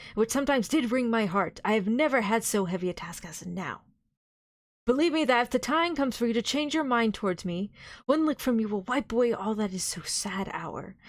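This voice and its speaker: A woman's voice: a little high, dry recording, clean studio sound, pitched above the performer's own voice, natural voice. A woman voicing a grave elderly foreign professor in a read-aloud novel, speaking formally, sternly and earnestly.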